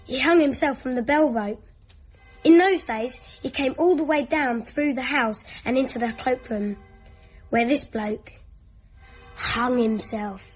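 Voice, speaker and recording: A woman's voice: high voice, measured pace, husky, faint music behind. A female performer playing a bossy child who tells gruesome secrets in a radio drama. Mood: secretive.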